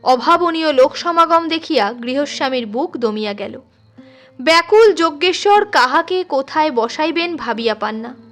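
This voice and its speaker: A woman reading a literary story in an expressive, deliberate way, as a storyteller. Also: high-pitched. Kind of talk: scripted literary story narration. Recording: music under the voice. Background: instrumental music.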